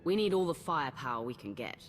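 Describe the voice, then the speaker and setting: A lively-pitched female voice as a determined commander in a video game. She is direct and confident. Music sits clearly behind her words. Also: quick pace. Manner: direct, assured. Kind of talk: video game cutscene acting.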